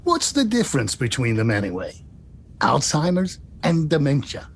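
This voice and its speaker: Creaky, cranky old man, acted by a man. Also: wildly swooping pitch, normal speed. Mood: curious, blunt. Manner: grumbling, wisecracking. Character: cranky, stubborn, foul-mouthed eighty-two-year-old male patient. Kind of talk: screenplay table read. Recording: audible low rumbling noise.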